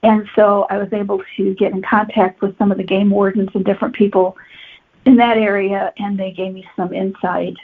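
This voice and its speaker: A woman's voice: mid-range voice, little pitch movement, normal speed, slightly rough. A woman novelist being interviewed on a podcast, explaining her work in a conversational, matter-of-fact way. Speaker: guest novelist. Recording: tinny phone-like line.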